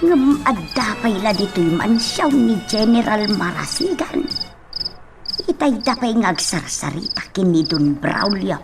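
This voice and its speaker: An actress with an Ilocano accent playing an uneasy, secretive house servant in a radio drama, halting and evasive. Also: female voice, medium pitch, very animated, vocal fry, distant mic, over music. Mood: uneasy, guarded. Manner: hesitant, slow, halting.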